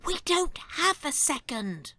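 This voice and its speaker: A woman's voice: sits high, big pitch swings, takes it slowly, sharp tone, dry recording, full character voice. A woman playing a feisty, wry old woman with a rebellious streak in an audio drama. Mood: alarmed. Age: older.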